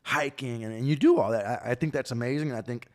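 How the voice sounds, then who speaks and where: A man's voice: mid-range voice, quick pace, darkish tone. A man, hosting a casual podcast chat in a laid-back way, swearing freely and tagging his thoughts with "right?". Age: 30s. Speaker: podcast host.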